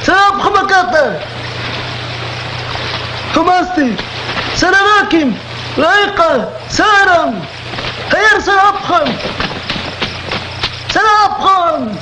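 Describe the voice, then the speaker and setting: A man voicing a hostile horde of demons in a radio drama, shrill and drawn out. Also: animated, very slow, long pauses, heavily acted. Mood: shrieking. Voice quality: extremely high, shrill and drawn out. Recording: echoey radio drama with noise.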